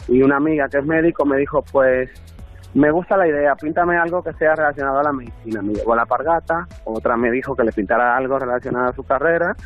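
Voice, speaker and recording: A man's voice: medium pitch, fast talker. Dominican man on a thin, phone-like sound. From Dominican Republic. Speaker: interview guest, artist and designer. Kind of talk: conversational interview answers. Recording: thin phone-like band, faint music.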